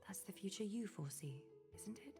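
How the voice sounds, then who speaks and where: An actress playing an aloof, calculating noblewoman leader in a video game, speaking formally and eloquently with measured composure. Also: medium pitch, animated, close to an everyday voice. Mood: solemn, pensive. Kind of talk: scripted video game voice acting. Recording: long reverb, music behind.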